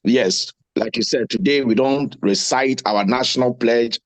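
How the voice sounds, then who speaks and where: A man's voice: medium pitch, a little slow, no room sound. A man hosting a seminar, giving formal, ceremonious introductions of speakers. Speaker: host. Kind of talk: moderating a seminar.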